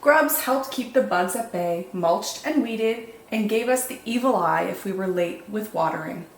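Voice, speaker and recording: In an echoey room with faint noise behind her, a woman reads a picture book aloud for young children. Her pitch sits in the middle for a woman. She tells the story expressively and deliberately, stretching out words for dramatic emphasis. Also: lively intonation. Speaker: children's storytime host reading picture books.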